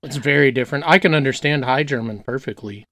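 A man's voice: medium pitch, fast, sharp tone, no room sound. A man from the United States chatting as an interview guest, laid-back and joking, acting out quotes. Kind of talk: casual interview chat.

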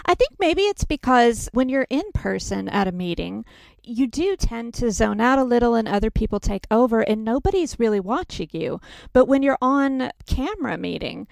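A woman's voice: a little high, lively intonation, moderate pace, gravelly, a little mellow, no room sound. A woman from Texas, hosting a casual podcast chat and laughing often. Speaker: podcast host.

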